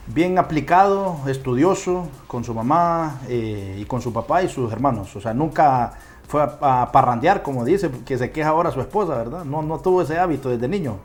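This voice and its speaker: A man's voice: medium pitch, animated, moderate pace. A man with a Honduran accent hosting a casual interview on a remote video call. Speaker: talk show host.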